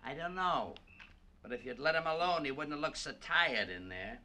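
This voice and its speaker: A man's voice: a little high, lively intonation, normal speed, bright, forceful tone. An American man acting a short-tempered, domineering boxing boss in a vintage radio drama, brusque and slangy. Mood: angry, sarcastic. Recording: hissy vintage radio recording.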